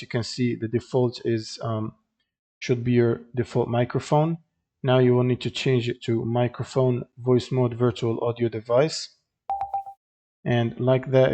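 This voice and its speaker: A man walks through a software tutorial in a casual, instructional style. His medium-pitched voice stays quite monotone. He speaks haltingly, pausing often as he goes. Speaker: tutorial host.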